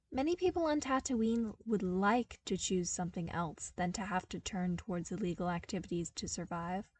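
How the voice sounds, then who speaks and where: An earnest young man from a hard desert world , voiced by a woman reader, explains things with wry, emphatic energy. The pitch often lifts above narration, and the sound is close and dry. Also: darkish tone, no room sound, close to an everyday voice.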